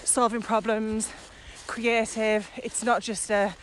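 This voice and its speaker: A woman's voice: fairly high pitch, little pitch movement, takes it slowly. A woman co-hosting a casual podcast, explaining ideas in conversation while out walking. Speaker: podcast co-host. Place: outdoors, on a village walk. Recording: noisy outdoor recording with ambient sound.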